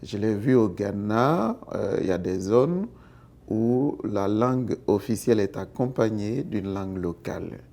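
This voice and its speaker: Man, street interview, gravelly dark voice, measured with many pauses. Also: fairly low pitch, animated. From Côte d'Ivoire. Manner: thoughtful, pausing with fillers.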